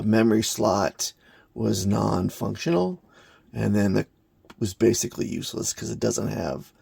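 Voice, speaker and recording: A man giving an unscripted hands-on gadget review in a halting, plain-spoken, matter-of-fact way. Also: on the low side, vocal fry, dark tone, slightly rough, no echo. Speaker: product reviewer, hobbyist maker.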